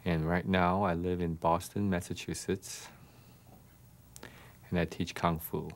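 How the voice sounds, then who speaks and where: Deep-voiced man from Taiwan who teaches kung fu, slow and deliberate. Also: level tone. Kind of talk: self-introduction.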